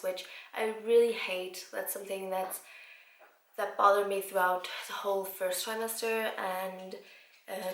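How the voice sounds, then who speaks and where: A woman in her twenties from Iceland, slightly raspy after a cold, chatting casually to camera with lots of fillers. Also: medium pitch, a bit bright, reverberant. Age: 20s. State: recovering from a cold. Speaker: vlogger. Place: home room.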